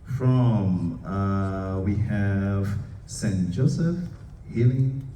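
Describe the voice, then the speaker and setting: A man emceeing, introducing speakers in a halting, drawn-out way. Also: on the low side, animated, mellow, a little hiss or hum. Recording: echoey, distant mic, music and crowd.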